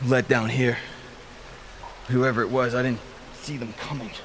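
An actor playing an earnest, determined young superhero sidekick in a video game, speaking in a terse, urgent, practical way. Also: male voice, mid-range voice, level tone, measured pace, a bit bright. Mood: tense, puzzled. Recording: clear background noise.

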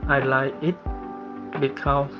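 A student from Cambodia speaking simple, halting English. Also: male voice, medium pitch, level tone. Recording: dull audio with music underneath.

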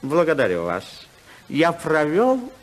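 An actor in a 1950s Soviet radio drama playing a genteel foreign society gentleman, courteous and effusive. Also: a little high, wildly swooping pitch, takes it slowly, exaggerated voice, poor audio. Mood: polite, effusive. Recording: 1950s radio play recording.

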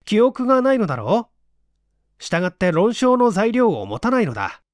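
A superior, chiding male friend from a storybook reading, acted by a male narrator. The voice sits high and moves animatedly. He lectures emphatically, in a very dry, close recording. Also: wildly swooping pitch, fairly quick, no room sound. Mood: teasing lecture.

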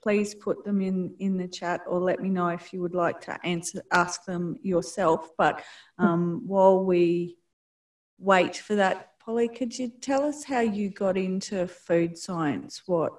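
A woman moderating a talk's question session, reading out audience questions in a brisk, friendly way. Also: lowish voice, fairly even intonation, distant mic. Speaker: event moderator.

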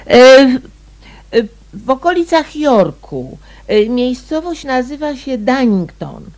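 Slow-spoken, polite woman musing aloud. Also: high voice, big pitch swings, darkish tone, heavily acted. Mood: puzzled, polite. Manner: unhurried, muttering to herself with repeated words. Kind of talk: radio drama acting.

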